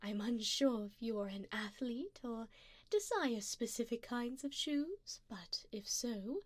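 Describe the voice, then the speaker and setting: Girl doing a rich doting vampire lady for asmr, soft breathy whispery, kinda high voice, super slow and close up. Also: expressive, no echo. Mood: indulgent, gracious.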